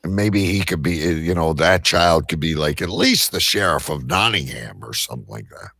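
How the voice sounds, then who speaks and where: Brash man with a lively, gravelly voice. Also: deep voice, moderate pace. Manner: brash, mocking, argumentative. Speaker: talk show host. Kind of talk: crude, irreverent panel banter.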